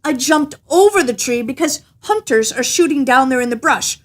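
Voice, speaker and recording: Woman as a cocky little tailor, pitched high. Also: lively intonation, moderate pace. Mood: boastful taunting. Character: little male tailor, cheerful, cocky, boastful trickster.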